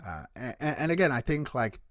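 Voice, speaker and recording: Man, voice coach, earnest explanations, lively pitch, dry close sound. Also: a little fast, no echo. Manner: earnest, explanatory, frequent restarts and self-corrections. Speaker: guest voice coach and creature performer. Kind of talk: casual Q&A answers.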